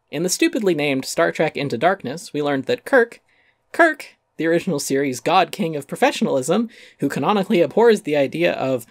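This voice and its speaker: A man's voice: mid-range voice, dry recording, clean studio sound. A man giving a dramatic reading of a critical essay, narrating with emphatic, sardonic delivery and some swearing.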